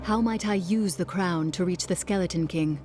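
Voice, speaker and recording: An actress playing a stern, self-reliant demon hunter in a video game, cool and formal. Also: female voice, fairly low pitch, normal speed, close to an everyday voice. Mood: cold, determined. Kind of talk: dramatic video game acting. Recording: loud game music behind.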